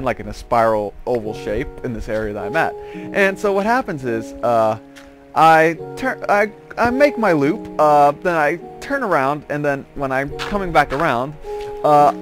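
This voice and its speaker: A man with a mid-pitched voice chats casually as a gaming host. He rambles through his storytelling, stopping and restarting phrases as he goes. Faint music plays behind him. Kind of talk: casual storytelling over gameplay.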